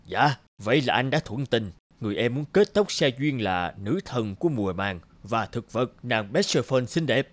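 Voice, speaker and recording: A man's voice: medium pitch, animated, normal speed, few pauses, lightly acted. Man acting scheming lord of the underworld. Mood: wheedling. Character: scheming, pleading male god of the underworld. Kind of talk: cartoon fairy tale dubbing.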